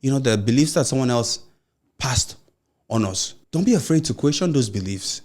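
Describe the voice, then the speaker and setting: A man's voice: mid-range voice, lively intonation, moderate pace, darkish tone. A young adult man with a Nigerian accent and a mild stammer, hosting a solo motivational podcast in an earnest, encouraging way. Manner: earnest, encouraging, loose run-on phrasing with many "you know"s. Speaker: podcast host.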